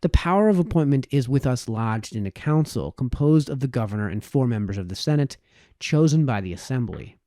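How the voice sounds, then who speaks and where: A man narrates a formal historical essay in a mellow, relaxed tone. His phrasing is measured and oratorical, with animated rises and falls in pitch. The sound is very dry, with next to no room echo. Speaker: audiobook reader. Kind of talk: read-aloud of a formal historical political essay.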